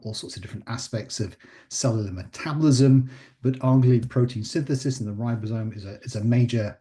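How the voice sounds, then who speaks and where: A man with a croaky voice giving a scientific lecture over a video call, explaining steadily with filler pauses. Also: medium pitch, dark tone. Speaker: invited research speaker.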